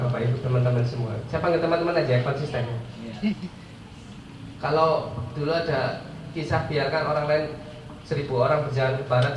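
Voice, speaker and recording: A man in his forties with an Indonesian accent and a stuffy, nasal voice, giving an informal, jokey motivational talk to listeners he treats as friends. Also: a little high. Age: 40s. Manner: jokey, self-deprecating asides, addresses listeners as friends. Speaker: guest motivational speaker. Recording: echoey room, far mic, clear background noise.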